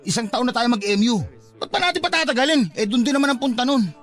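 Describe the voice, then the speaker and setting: A man's voice: extremely high pitch, animated, fast talker, fairly bright tone. A man playing a cheeky, scheming young suitor, teasing and insistent, in a Filipino radio-style romance drama. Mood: insistent bargaining. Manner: teasing, wheedling, playful. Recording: music under the dialogue.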